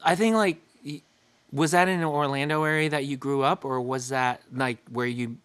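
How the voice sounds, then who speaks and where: A man's voice: mid-range voice, fairly even intonation, moderate pace, fairly bright tone, smooth, no echo. A man from Florida hosting a podcast, chatting casually and rambling, stumbling over his words. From Florida, United States. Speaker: podcast host. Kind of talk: casual interview chat.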